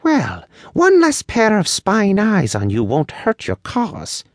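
A man voicing a tiny, proud male elf in a high-pitched storybook voice, with grudging and old-fashioned formal speech. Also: huge pitch swings, slow, no room sound, studio quality, exaggerated voice. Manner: grudging, archaic formal phrasing. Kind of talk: audiobook character voice.

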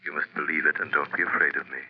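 An actor playing a suave, wealthy amateur sleuth with a measured, wryly understated delivery in old-time radio drama style. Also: male voice, a bass voice, fairly even intonation, husky, muffled recording, soft background music. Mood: calm, reassuring. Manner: measured, deliberate, dry understatement. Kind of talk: 1930s-40s radio drama acting.

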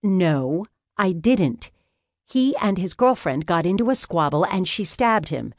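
A woman's voice: fairly low pitch, expressive, a little slow, no echo, studio quality, pitched above the performer's own voice. A woman voicing a feisty, sarcastic female rookie private investigator, blunt in manner, in an audiobook story. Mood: defensive.

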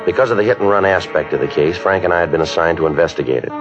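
Man playing a deadpan, very deep-voiced radio detective. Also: very fast, close to an everyday voice. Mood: matter-of-fact. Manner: deadpan, clipped, matter-of-fact. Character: stoic, businesslike male police detective. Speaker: lead actor and narrator. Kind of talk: 1950s radio police drama acting, clipped narration. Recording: dull 1950s radio recording with music.

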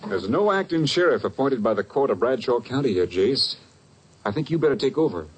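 A man portrays a fatherly but gruff captain of the Texas Rangers in a 1950s radio drama. His mid-range voice moves up and down a lot. The vintage recording is clearly noisy. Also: mid-range voice, big pitch swings, fairly quick, close to an everyday voice. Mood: grave, businesslike. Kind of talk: 1950s radio western acting.

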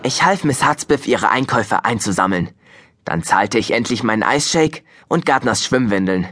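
A man's voice: medium pitch, animated, moderate pace, bright, forceful tone, no room sound, studio quality. A man playing a wry boy who narrates his own story in scripted first person. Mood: matter-of-fact.

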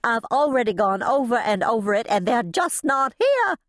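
A woman's voice: expressive, moderate pace, dry recording, clean studio sound, close to an everyday voice. A woman voicing a frantic, proper older lady in an audiobook, breathless, exclaiming and indignant. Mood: panicked. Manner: shrill, breathless wailing and exclaiming.

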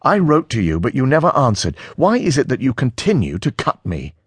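A man's voice: mid-range voice, wildly swooping pitch, brisk, breathy edge, no room sound, clean studio sound, voice pushed up. A man voicing an angry, defensive male character in an audiobook, mocking and aggrieved. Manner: menacing, mocking, indignant.

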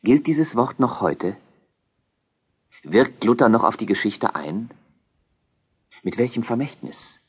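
A man's voice: medium pitch, big pitch swings, slow pace, pauses often, breathy edge. A man narrating a scripted historical documentary as a storyteller.

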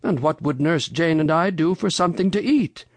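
A reader voicing a genial, excitable old gentleman rabbit from a storybook, polite and given to exclaiming. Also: medium pitch, normal speed, dry recording, voice pushed up, lightly acted. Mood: polite, resigned. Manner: polite, exclamatory, wondering aloud.

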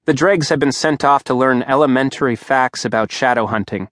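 A man's voice: medium pitch, brisk, a bit bright, no echo. A man reading an audiobook aloud in a dry, wry storytelling manner at a studio microphone. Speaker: audiobook narrator. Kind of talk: scripted narration.

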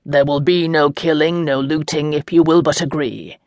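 A reader playing a commanding dark lord who speaks calmly and with quiet mockery. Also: normal speed, no echo, clean studio sound, lightly acted. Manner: calm, low and assured.

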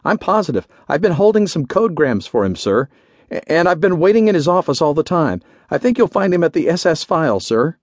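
A man's voice: a little high, very animated, brisk, dry recording, studio quality, voice pushed up. A man voicing a frightened, stammering young military orderly in a pulp adventure story, eager to please. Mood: anxious, insistent.

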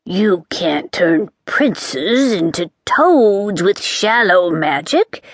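A woman voicing a crabby old witch who croaks and sneers, speaking slowly. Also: lowish voice, big pitch swings, dry recording, clean studio sound, exaggerated voice. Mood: sneering. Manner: sneering, slow and menacing.

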